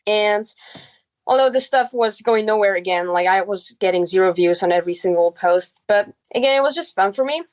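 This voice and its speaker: A woman's voice: mid-range voice, brisk. A girl in her early teens, a native Czech speaker with a Czech accent, telling her story casually as a podcast guest. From Czech Republic.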